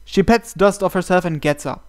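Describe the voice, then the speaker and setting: A man's voice: high-pitched, moderate pace. A man reading visual novel narration aloud dramatically, as a let's player.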